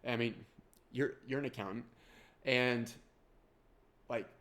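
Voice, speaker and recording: A man's voice: medium pitch, steady tone, slow, a bit bright. A man in his forties with a Kansas accent and a nasal voice, talking casually about exam prep in a rambling, self-deprecating way. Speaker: CPA exam prep course owner. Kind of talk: casual talk to camera.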